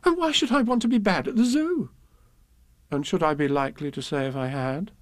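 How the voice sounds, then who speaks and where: A man acts a little girl reciting a children's poem. Her voice is high and lively, with the puzzled, put-upon air of a well-behaved child. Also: fairly quick, no room sound, studio quality, natural voice. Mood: exasperated.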